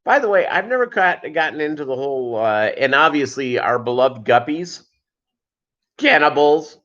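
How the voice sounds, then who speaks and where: A man hosting a casual livestream, chatting with viewers in a halting way and wandering between asides. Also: on the high side, expressive, fairly bright tone. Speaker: livestream host. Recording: dull lo-fi livestream audio.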